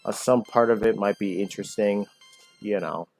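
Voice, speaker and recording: A man giving casual, rambling commentary over his own gameplay, full of fillers and restarts, with a loud voice. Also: lowish voice, moderate pace. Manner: rambling, filler-heavy, self-correcting. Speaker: gaming channel host. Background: game music.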